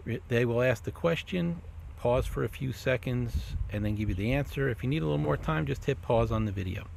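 Gravelly middle-aged man hosting casually. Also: medium pitch, animated, fairly quick, a little mellow. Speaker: host, library staff. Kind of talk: casual video introduction. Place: outdoors by leafy trees. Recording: slightly noisy outdoor recording.

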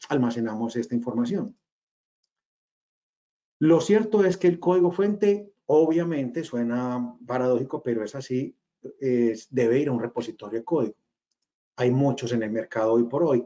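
Colombian man with a mellow, dull-sounding voice. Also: mid-range voice. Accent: Colombian regional. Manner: hesitant, many fillers and restarts. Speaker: webinar speaker, company cofounder. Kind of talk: webinar presentation. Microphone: video call webcam. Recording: slightly dull video call audio.

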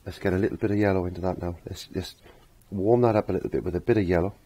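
A middle-aged man with a low, husky, croaky voice talks through a painting demonstration. He rambles casually, often repeating short phrases as if talking to himself while he works. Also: fairly even intonation, racing, warm tone. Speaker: painting instructor. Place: indoor home art studio.

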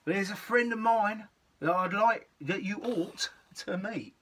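A man's voice: high voice, slow pace, a bit bright, a little hiss or hum, pitched above the performer's own voice. A man voicing a small, clever storybook mouse with an emphatic, persuasive delivery.

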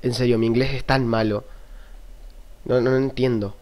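A man's voice: mid-range voice, steady tone, normal speed, a little mellow, slight background noise. Brief: young Argentine guy, webcam vlogger, crude self-mocking banter, desk mic. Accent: Argentine Spanish. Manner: swears freely, self-mocking, jokey. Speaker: YouTuber. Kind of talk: casual webcam vlog commentary. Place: small room indoors at a desk. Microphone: computer webcam mic.

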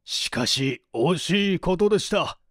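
A man voicing an anxious, excitable country gentleman in a dramatic story reading, with an agitated, emphatic delivery. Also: high-pitched, a little slow, dry recording, studio quality, exaggerated voice. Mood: regretful, worked up.

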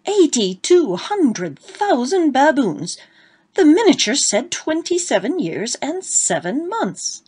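A woman playing a gallant young gentleman who talks in florid, exclaiming phrases. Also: mid-range voice, normal speed, lightly acted. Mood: aghast. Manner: florid, exclaiming, stammering in shock.

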